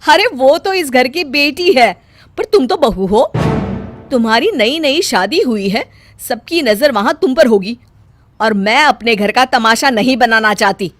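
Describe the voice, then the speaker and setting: A woman voicing a strict, scolding middle-aged mother-in-law in a cartoon story, speaking loudly and bossily. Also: on the high side, expressive, measured pace, no echo, soft background music. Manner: loud, scolding, bossy.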